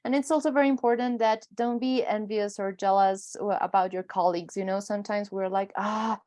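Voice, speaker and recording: A woman's voice: medium pitch, fairly even intonation, brisk, a little mellow. A woman panelist giving conversational, earnest advice and explaining her points step by step.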